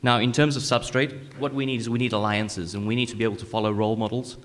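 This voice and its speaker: Man from South Africa, audience speaker at a conference. Lowish voice, reverberant hall. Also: steady tone, fast, a bit bright. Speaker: co-working space founder speaking from the audience. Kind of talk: informal remarks at a conference. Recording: echoey hall with long reverb.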